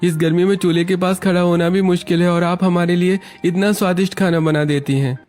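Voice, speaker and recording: A man acts out an earnest young husband in a cartoon family tale. His voice is fairly high and placating, with background music clearly present. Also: fairly even intonation, fairly quick, a little mellow, close to an everyday voice. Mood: grateful, admiring. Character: earnest, appreciative young brother-in-law and husband. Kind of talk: Hindi cartoon family story acting.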